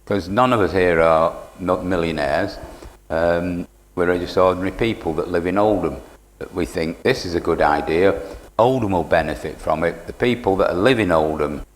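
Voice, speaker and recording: A man's voice: low voice, normal speed, few pauses. An older man, giving an unscripted live interview. He is plain-spoken and earnest, with a dry wit. The sound carries the long reverb of a hall. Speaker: community radio station manager, interview guest. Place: radio studio stage in an indoor market.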